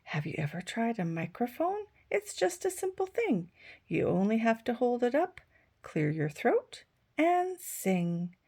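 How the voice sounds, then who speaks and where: Woman doing a cheery sheep, high and bouncy, very encouraging. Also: sing-song, a little slow, warm tone, slightly rough, full character voice. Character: cheerful female sheep bandleader, friendly.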